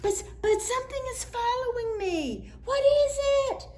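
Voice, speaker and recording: A woman voicing a frightened male wolf in a children's picture book, pleading in a panicky, high voice. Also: lively intonation, unhurried, warm tone. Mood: scared.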